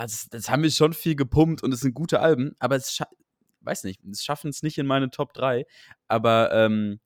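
A man's voice: mid-range voice, smooth, dry recording, clean studio sound. A young man in casual podcast chat, talking in a tentative, rambling, colloquial way as a co-host. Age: young adult.

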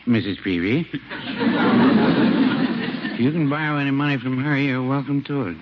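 An actor playing a mild, cautious older small-town druggist, folksy and dry, in a 1950s radio comedy. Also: male voice, mid-range voice, moderate pace, over a noisy crowd, close to an everyday voice. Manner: folksy, deadpan, hesitant. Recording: noisy, dull 1950s radio recording.

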